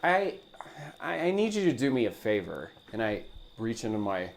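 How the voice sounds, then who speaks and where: A man's voice: fairly low pitch, sing-song, moderate pace, vocal fry, a little hiss or hum. A man chatting casually as a player in a tabletop role-playing game, describing what his character does.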